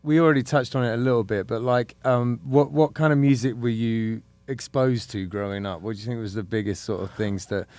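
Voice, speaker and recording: A man hosting a music podcast, asking questions in an easygoing, halting way with lots of fillers. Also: mid-range voice, steady tone, darkish tone, no room sound. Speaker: podcast host. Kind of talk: casual interview.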